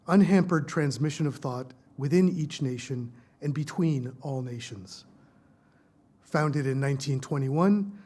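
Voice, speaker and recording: A man hosting a library event, reading formal introductions of the speakers in a measured, prepared way. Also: fairly high pitch, darkish tone. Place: lecture hall at a research library.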